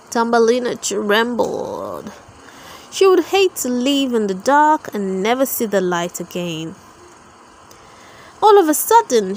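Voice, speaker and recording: A woman's voice: fairly high pitch, slight background noise. A woman narrating a children's storybook, reading a scripted tale aloud for toddlers.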